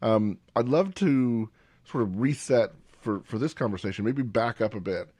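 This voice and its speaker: A man's voice: fairly low pitch, lively intonation, moderate pace, a little mellow, breathy edge, no room sound. Man hosting, dry close sound. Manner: rambling, searching for words, many restarts. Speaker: podcast host. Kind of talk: interview questions.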